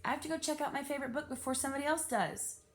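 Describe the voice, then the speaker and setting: A woman's voice: sits high, rapid-fire, slight background noise, voice pushed up, lightly acted. A woman voicing a bookish, earnest nine-year-old girl in a storybook, with an emphatic, insistent delivery. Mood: exasperated.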